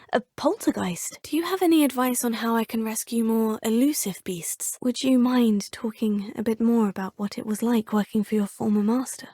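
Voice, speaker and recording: A woman's voice: on the high side, warm tone, husky, no echo, clean studio sound. A woman voicing a young witch student in a video game, speaking in a polite, formal and inquisitive way. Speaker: player character in a video game.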